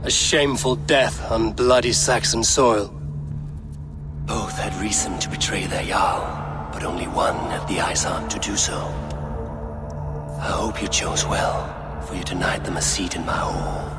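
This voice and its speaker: An actor playing a commanding, stern Viking raider in a video game, with a measured, authoritative manner. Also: male voice, on the low side, fairly even intonation, loud music behind the voice, natural voice. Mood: cold, grave.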